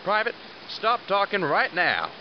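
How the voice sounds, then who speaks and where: Man as animated, blunt military squad leader. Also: high voice, unhurried, highs cut off, slight background noise, heavily acted. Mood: stern, exasperated. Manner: commanding, blunt. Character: blunt middle-aged squad leader, gruffly fatherly and sarcastic. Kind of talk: audio drama acting.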